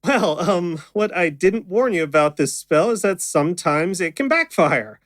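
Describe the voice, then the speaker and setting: A man's voice: sits high, lively intonation, moderate pace, a bit bright, no room sound, studio quality. A man playing a chatty, unflappable stage magician in an audio drama, with wry conversational patter. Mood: wry, upbeat.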